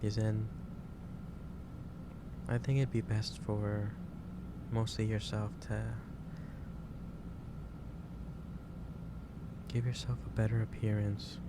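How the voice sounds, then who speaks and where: Man acting as halting, low-voiced gentle male boss. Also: little pitch movement, heavy background noise. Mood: gentle concern. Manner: halting, hesitant, repeats and restarts phrases. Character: gentle, concerned male office boss lecturing an overworked employee. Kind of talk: improvised ASMR roleplay addressed to the listener.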